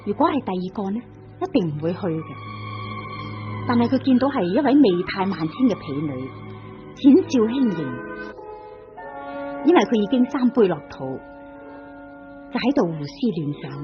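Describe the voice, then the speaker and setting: A woman giving scripted dramatic narration for an old radio play in a measured storytelling cadence. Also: mid-range voice, very animated, over music. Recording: dull lo-fi old radio recording.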